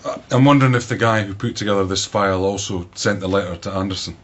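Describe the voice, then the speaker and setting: An actor playing a serious, curious investigator who quietly probes in a naturalistic radio drama. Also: male voice, low voice, fast. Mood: probing. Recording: dull, muffled sound.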